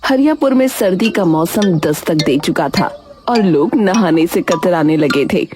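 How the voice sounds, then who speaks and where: Female storyteller for scripted narration, animated pitch movement, music underneath. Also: measured pace. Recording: music behind the voice.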